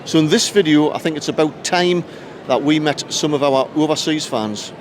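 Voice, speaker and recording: A man's voice: fairly high pitch, moderate pace. A man hosting a video, chatting with football fans outdoors on match day. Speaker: host interviewing fans. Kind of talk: outdoor vlog presenting. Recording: street noise behind.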